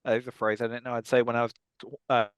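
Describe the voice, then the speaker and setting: A man's voice: medium pitch, flat delivery, rapid-fire, clean tone, no room sound, studio quality. A middle-aged man co-hosting a podcast, rambling enthusiastically through casual comedic chat. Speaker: podcast co-host.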